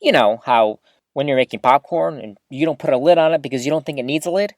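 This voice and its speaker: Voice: mid-range voice, quick pace, no room sound, studio quality, natural voice. An actor playing a rambling, easily flustered small-town radio host giving a live broadcast in an audio drama, awkward and chatty. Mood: chatty, enthusiastic. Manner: awkward, animated, throat-clearing, chatty.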